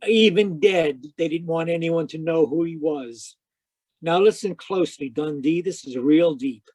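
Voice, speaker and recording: Male actor sardonic detective narrator, high for a man, 1940s radio mystery style, no room sound. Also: a little slow, a little mellow, dry recording. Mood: urgent, sardonic. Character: wisecracking private detective, sardonic and unflappable. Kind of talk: old-time radio detective first-person narration.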